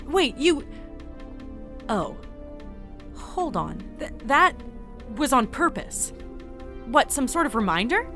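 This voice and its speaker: Actress as young earnest entity, high voice, expressive, flustered, talkative, audio drama, music behind. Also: female voice, slow pace, pauses often, exaggerated voice. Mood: upset, puzzled. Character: earnest, anxious, talkative young entity working at secret facility.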